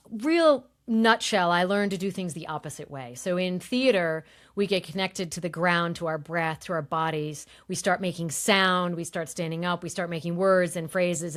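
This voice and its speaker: A woman voice coach explaining in a relaxed interview, her voice on the higher side, and she often demonstrates tones with it. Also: normal speed. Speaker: voice coach guest. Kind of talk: conversational interview, demonstrating voice techniques.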